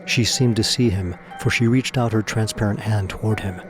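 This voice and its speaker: A man's voice: on the low side, normal speed. A man narrating a scripted audio drama in a theatrical, suspenseful storytelling manner. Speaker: narrator and voice actor. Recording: music behind the voice.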